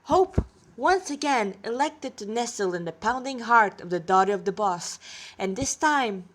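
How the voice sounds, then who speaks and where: A woman's voice: fairly high pitch, expressive, moderate pace. A woman with a congested nose reading a fantasy story aloud as an amateur narrator.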